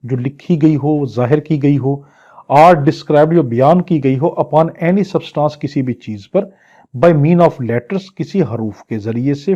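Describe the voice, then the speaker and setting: A man's voice: a little high, warm tone, muffled recording. A Pakistani man giving an exam-prep law lecture in a didactic way, repeating phrases and posing questions that he then answers. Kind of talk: exam-prep lecture, reading English legal text and explaining it in Urdu.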